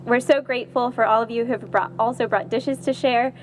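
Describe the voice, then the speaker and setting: Woman, higher-pitched voice, informal welcome address at an outdoor memorial event. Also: steady tone, fairly quick, background noise. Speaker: cemetery staff member giving welcome speech. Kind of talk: informal welcome speech to a gathering. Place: outdoors at a cemetery gathering.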